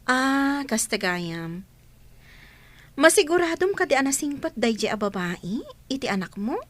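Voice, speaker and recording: A curious, polite woman in a radio drama. Her voice is fairly high and swings freely in pitch. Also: sing-song, measured pace, fairly bright tone, exaggerated voice. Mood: curious, concerned. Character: curious, polite woman advising a male client. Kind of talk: Ilocano radio drama acting.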